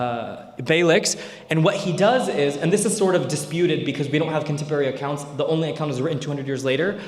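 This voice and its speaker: A man's voice: fairly high pitch, darkish tone. Young man lecturing in an echoey hall. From Bay Area, United States. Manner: conversational, many fillers and tag questions. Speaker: Islamic scholar lecturing. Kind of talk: informal history lecture. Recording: echoey hall with long reverb.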